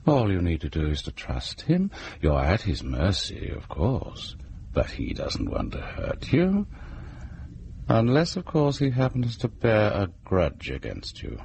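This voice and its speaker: An actor playing a sinister, sardonic host of horror tales in a radio drama, theatrical and mock-reassuring. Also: male voice, on the low side, huge pitch swings, a little mellow, slight background noise, exaggerated voice. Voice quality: gravelly, husky. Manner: slow-relishing, mock-reassuring. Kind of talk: theatrical scripted horror introduction.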